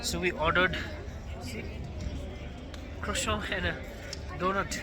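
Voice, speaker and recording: A man's voice: high-pitched, fairly even intonation, slow pace, crowd noise. A man whose first language is Nepali, chatting to camera as a travel vlogger on a mountain trip, pointing out sights like a casual tour guide. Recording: noisy outdoor camera audio.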